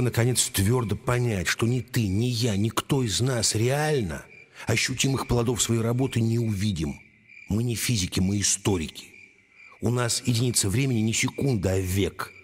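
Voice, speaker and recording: A man playing a weary, wise older judge in a radio drama, speaking in a measured, paternal, admonishing way. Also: on the low side, a little hiss or hum, close to an everyday voice. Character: weary, wise older male judge, secretly an Earth historian.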